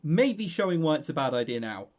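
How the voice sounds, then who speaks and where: A man's voice: on the high side, sing-song, fairly quick, heavy background noise. A man co-hosting a podcast, chatting casually and unscripted about games in a joking, teasing way. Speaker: podcast co-host.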